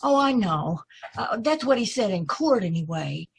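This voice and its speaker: A woman's voice: mid-range voice, very animated, normal speed, gravelly, husky, exaggerated voice. A woman playing a polite, earnest young woman in an old time radio drama, speaking hesitantly and apologetically. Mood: earnest, hopeful. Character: polite, earnest young woman seeking her lost brother.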